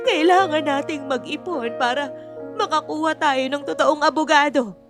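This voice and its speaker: A woman's voice: sits high, measured pace, music in the background. A woman playing a devoted, distraught middle-aged mother, pleading and tearful, in an audio drama. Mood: despairing.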